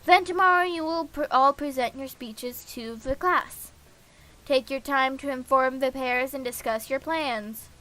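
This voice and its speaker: Girl streamer w a really high bright voice, reads visual novel lines out loud, takes her time, kinda playful. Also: a little slow. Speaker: game streamer. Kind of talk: reading visual novel dialogue aloud on a casual livestream.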